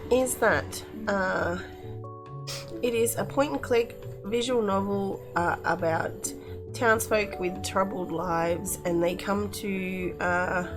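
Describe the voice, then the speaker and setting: A woman's voice: medium pitch, takes it slowly, over music. A woman hosting a casual game review, talking in a chatty, appreciative way.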